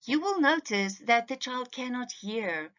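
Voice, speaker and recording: Bright, lively woman giving an instructional presentation. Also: on the high side, measured pace, muffled recording. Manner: didactic, emphatic, addressing listeners directly. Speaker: teacher presenting to parents. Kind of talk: slide presentation lecture, instructional.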